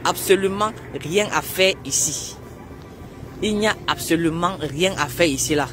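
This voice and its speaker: A man's voice: high-pitched, a little slow, over music. A man vlogging outdoors on a street, complaining casually. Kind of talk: street vlog.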